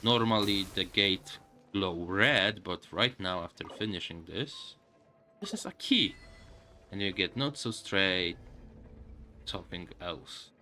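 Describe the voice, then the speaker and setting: Slow, low-voiced man streaming a game. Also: pushed and bright. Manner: halting, musing aloud to viewers. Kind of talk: casual live game commentary. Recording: music behind the voice.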